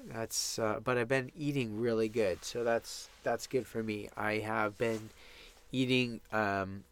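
A man's voice: on the low side, steady tone, measured pace, clear, resonant tone. A man with a congested, stuffy nose hosts a podcast alone, talking in a casual, upbeat, rambling way in a small sound booth. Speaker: podcast host. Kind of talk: casual solo podcast monologue.

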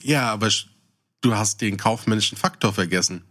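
A man's voice: on the low side, a little fast, pushed and bright. A man chatting casually as a podcast co-host, questioning and challenging the other speaker. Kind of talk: casual chat, probing discussion.

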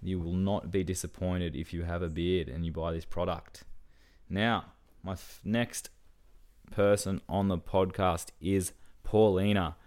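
Deep-voiced podcast host. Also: male voice, a little slow. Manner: relaxed, rambling with fillers. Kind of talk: podcast hosting and ad read.

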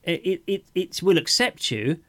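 A man's voice: high-pitched, lively intonation, normal speed, no room sound, studio quality. A man co-hosting an informal, reflective discussion, feeling his way through sentences and often restarting them. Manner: hesitant, searching for words, repeating sentence openings. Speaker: podcast co-host.

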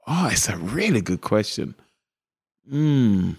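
A man's voice: lowish voice, very animated, slow pace, a little mellow, slightly rough. A man with an East London accent, a guest actor chatting casually in an interview, rambling with lots of fillers and restarts. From East London, England. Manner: laid-back, rambling with many fillers and restarts.

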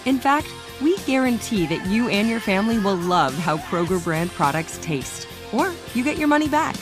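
A woman's voice: medium pitch, sing-song, moderate pace. A woman delivering a promotional advertisement as an announcer. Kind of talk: promotional ad read. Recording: music bed behind voice. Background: music.

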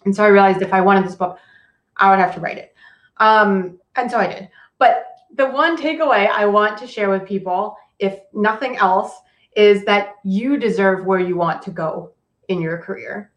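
A woman's voice: medium pitch, moderate pace. A woman whose first language is Cantonese speaks as a guest on a podcast. She talks in a loose, conversational way, often filling her sentences with "like" and "um." Her voice carries a noticeable room echo. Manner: casual, frequent "like" and "um", laughs often. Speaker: author and career coach guest. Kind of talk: podcast interview. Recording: echoey room.

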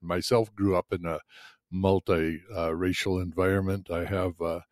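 An older man explaining ideas in a podcast chat, hesitant with many filler pauses. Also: low-pitched, flat delivery, no room sound, studio quality. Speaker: podcast co-host. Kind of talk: explanatory conversation.

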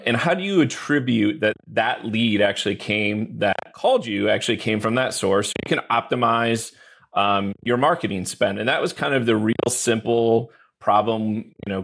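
A man's voice: fairly low pitch, creaky, fairly bright tone. A man speaking as an executive guest on a podcast, explaining things in a conversational way.

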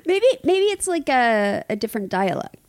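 A woman's voice: on the high side, big pitch swings, normal speed, no room sound. A woman co-hosting a podcast in casual chat, cutting in with dry, teasing questions. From United States.

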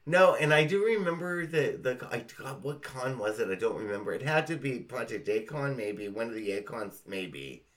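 A man's voice: mid-range voice, expressive, normal speed, hardly any pauses. A man co-hosting a casual podcast chat, reminiscing with emphatic, gushing, sweary remarks. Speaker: podcast co-host.

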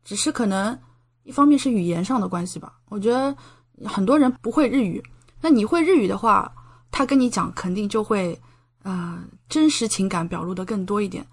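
A woman's voice: mid-range voice, a little fast, husky. Young Chinese woman explaining things conversationally. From China. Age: young adult. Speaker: podcast guest, doctoral student in medicine. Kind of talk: conversational interview answers, explanatory.

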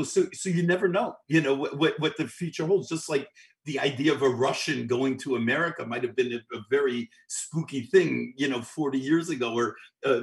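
A middle-aged man from New York, a guest musician telling long anecdotes in an interview, with frequent restarts and repeated words. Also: medium pitch. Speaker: guest, orchestral clarinetist. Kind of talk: storytelling interview.

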